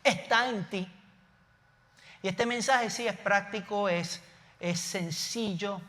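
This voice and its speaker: A man's voice: sits high, takes it slowly, bright, forceful tone, big-room sound. A man from Puerto Rico with a Puerto Rican Spanish accent, preaching a conversational sermon from a church stage, with asides and self-corrections. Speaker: pastor.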